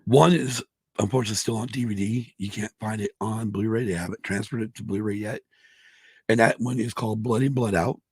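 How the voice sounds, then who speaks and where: A man co-hosting a casual podcast, hoarse from allergies, who rambles, restarts and swears a lot. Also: fairly low pitch, a little fast, a little mellow, no room sound. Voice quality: gravelly, husky. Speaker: podcast co-host. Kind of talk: casual chat.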